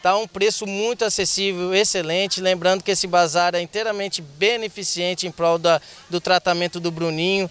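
A man's voice: high-pitched, steady tone, normal speed, hardly any pauses, a bit bright, clean tone. A Brazilian Portuguese man, a volunteer at the bazaar, speaking upbeat and promotional into a handheld mic. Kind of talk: promotional interview. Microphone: handheld reporter mic.

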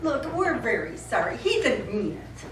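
An actress playing a polite, apologetic, slightly exasperated customer in a live stage comedy. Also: female voice, on the high side, very animated, a little slow. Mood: placating. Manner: polite, conciliatory. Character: apologetic, placating, mildly exasperated female customer. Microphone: distant audience camera. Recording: echoey theatre, distant mic, faint noise.

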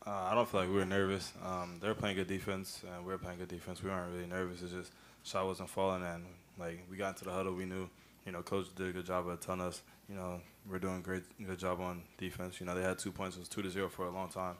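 A young man, a college basketball player, answering press questions quickly and matter-of-factly into a table mic. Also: low-pitched, a little hiss or hum. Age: young adult. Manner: quick, even, matter-of-fact. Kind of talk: postgame press conference answers. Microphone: table mic on a stand.

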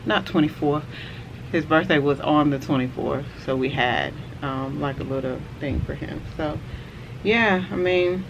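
A woman in her forties, a vlogger, chatting casually to camera on a house porch, relaxed and rambling with lots of fillers. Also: low-pitched, highs cut off. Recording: outdoor camera audio with clear background noise.

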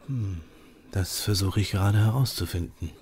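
An actor playing a brilliant, self-assured detective who lectures briskly about his deductions. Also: fairly low pitch, a little mellow, close to an everyday voice. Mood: probing. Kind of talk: audio drama acting.

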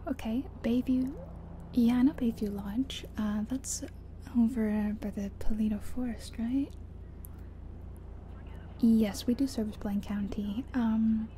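A soft-spoken woman doing an ASMR roleplay as a friendly, chatty taxi driver, in a gentle, whispery delivery. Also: a little high. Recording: faint background noise of game car sounds. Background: car sounds from a driving game.

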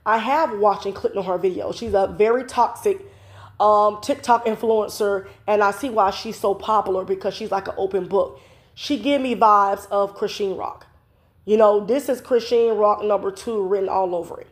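A woman's voice: mid-range voice, steady tone, moderate pace. A woman in her thirties, congested from a cold, hosting her own commentary vlog from home in a chatty, opinionated way full of "you know" fillers, recorded close on a phone camera. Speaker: vlogger host. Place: home, indoors.

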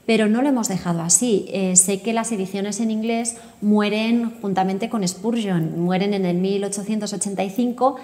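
A woman's voice: medium pitch, normal speed, few pauses, mellow. A woman in her thirties hosting an interview, talking in an explanatory, articulate way. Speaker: podcast host, interviewer. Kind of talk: conversational interview. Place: indoor book-lined room. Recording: reverberant indoor room.

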